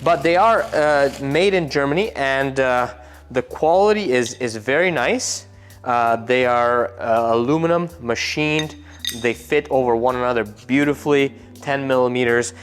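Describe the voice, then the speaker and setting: Man explaining car parts casually. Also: mid-range voice. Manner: explanatory, casual, with jokey asides. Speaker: car tuning shop host. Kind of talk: technical car build walkthrough.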